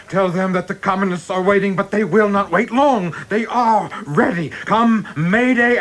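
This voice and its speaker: A high-voiced, zealous young government aide. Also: male voice, a bit bright. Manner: insistent, urgent prompting. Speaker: actor in a radio play. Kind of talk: dramatic radio play acting.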